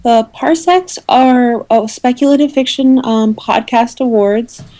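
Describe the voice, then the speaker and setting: A woman's voice: a little high, measured pace, dark tone. A woman being interviewed as a guest, explaining casually and often hesitating and rephrasing mid-sentence. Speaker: interview guest, awards committee head. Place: remote call into a podcast.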